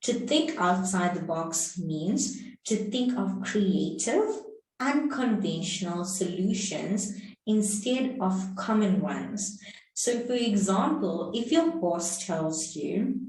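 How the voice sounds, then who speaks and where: A South African woman teaches English at a very unhurried pace, going back over each point. Her voice sits at a middle pitch, and she stands a little away from the mic in a very echoey room. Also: very animated, darkish tone, distant mic. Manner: slow, explanatory, repeats points. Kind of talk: online lesson.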